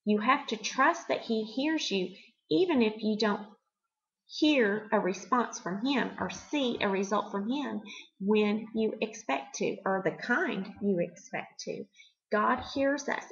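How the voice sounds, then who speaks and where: A middle-aged woman hosting a devotional for children, teaching in simple, expressive words and putting questions to the listener. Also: mid-range voice, unhurried, muffled recording. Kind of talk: devotional talk addressed to children, with storytelling.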